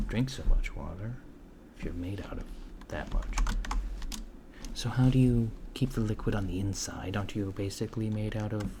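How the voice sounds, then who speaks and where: A man playing a curious, puzzled alien researcher in a soft-spoken ASMR roleplay, halting and matter-of-fact, often slipping into whispers. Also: lowish voice. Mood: baffled. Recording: clear background noise with keyboard typing. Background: computer keyboard typing.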